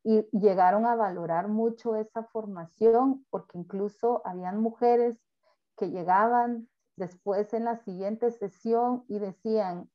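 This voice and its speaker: A woman speaks earnestly over a video call, pausing often on fillers as she presents to a webinar. Her voice sits at an ordinary middle pitch. The audio is dull and lo-fi. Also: fairly even intonation. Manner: earnest, halting with frequent fillers. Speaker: panelist.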